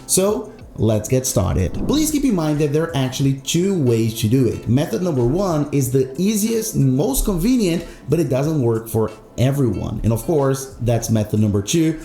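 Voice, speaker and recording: A man's voice: medium pitch, dark tone, echoey room. A man hosting an instructional tech tutorial, explaining step by step in an emphatic, repetitive way. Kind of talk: instructional screen-recorded tutorial narration.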